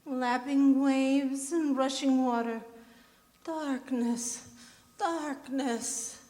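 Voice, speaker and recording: A woman's voice: high voice, fairly even intonation, very slow, mellow. A woman playing a haunted young woman under a vampire's spell in a staged radio drama, dreamy and incantatory. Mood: trance-like. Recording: reverberant hall, music behind.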